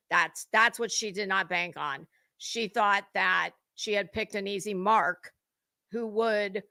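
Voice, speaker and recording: A woman hosting casual, opinionated gossip commentary, rambling and halting, with emphatic points and some mild swearing. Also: mid-range voice, pushed and bright, no room sound, clean studio sound. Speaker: host of a gossip commentary livestream.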